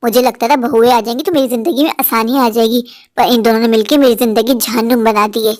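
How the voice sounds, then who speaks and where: A woman's voice: fairly high pitch, steady tone, fast, lightly acted. A woman voicing a bossy, self-pitying older mother-in-law who scolds and complains in a comic family skit. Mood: scolding. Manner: scolding, complaining, shouting for her daughters-in-law.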